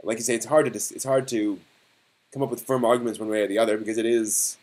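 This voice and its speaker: A man hosting a casual live stream discussion, thinking aloud in a halting way and posing rhetorical questions. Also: lowish voice, steady tone, a little mellow.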